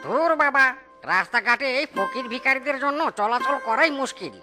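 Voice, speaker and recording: An irritated cartoon gentleman on the road, played by a voice actor, speaks in a high voice. He grumbles dismissively and grudgingly over clear background music. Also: animated, moderate pace. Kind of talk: cartoon story dialogue.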